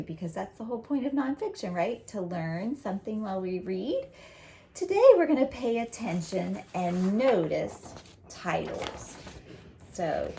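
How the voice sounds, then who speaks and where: Creaky-voiced woman teaching young children. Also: mid-range voice, warm tone, slight background noise. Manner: encouraging, explains simply with check-in questions. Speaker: teacher reading aloud to young students. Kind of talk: read-aloud lesson for children.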